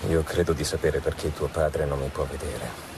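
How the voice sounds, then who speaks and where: An actor playing a reassuring, smooth-talking suitor of about thirty in an Italian radio drama. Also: male voice, rumbling low, moderate pace. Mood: reassuring, explaining. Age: 30s. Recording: radio drama with clear ambient noise.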